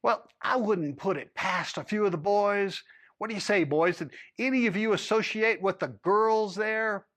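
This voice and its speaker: A man's voice: high voice, normal speed, fairly bright tone. A man voicing a sly old-west male rancher with a folksy who dodges questions. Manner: folksy, dry, evasive drawl.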